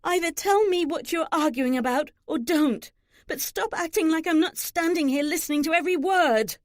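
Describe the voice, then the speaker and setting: A woman's voice: high voice, steady tone, moderate pace, darkish tone, dry recording, studio quality, pitched above the performer's own voice, natural voice. A woman voicing a hurt, proud young daughter in an audiobook novel, emotional and defiant. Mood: angry, snapping.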